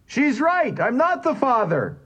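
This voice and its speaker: A fierce, devoted young carpenter roars and insists, his voice pushed up high and loud, as a male storyteller puts him on. There is a rough, husky grain to it. His pitch leaps about as he shouts. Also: huge pitch swings, measured pace, heavily acted. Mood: firm. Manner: bellowing, insistent.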